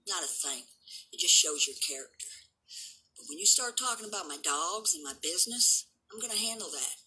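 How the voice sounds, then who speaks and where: Brief: woman, 70s, rough and hard-edged, low-set pitch, taunting, boastful vlog rant. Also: animated, a little fast, sharp tone, poor audio. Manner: defiant, boastful, taunting critics. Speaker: vlogger and dog breeder. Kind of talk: unscripted rant to camera.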